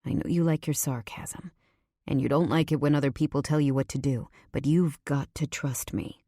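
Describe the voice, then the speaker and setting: A woman narrator acts out a brooding, protective man from a romance audiobook. The voice is low and mellow, serious and terse, giving orders with controlled intensity. The recording is close and dry. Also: fairly even intonation, normal speed, no echo. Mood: intense, protective.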